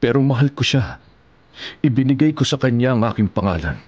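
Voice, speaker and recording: An actor playing a gentle, devoted older widower husband in a Filipino radio drama, speaking in a tender, measured way. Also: male voice, mid-range voice, animated, slightly rough, no room sound, dull audio. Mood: tender, forgiving.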